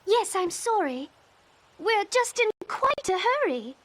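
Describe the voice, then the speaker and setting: A woman playing a polite, earnest young storybook girl in a video game, prim, gentle and careful. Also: very high voice, sing-song, slow, exaggerated voice. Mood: pleading. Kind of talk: animated game voice acting. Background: prominent music.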